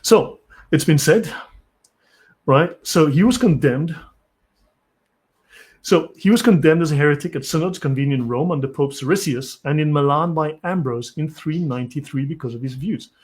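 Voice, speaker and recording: A man's voice: fairly high pitch, moderate pace, a little mellow. A South African man hosting a live stream, who reads from articles and answers chat comments bluntly and impatiently. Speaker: channel host.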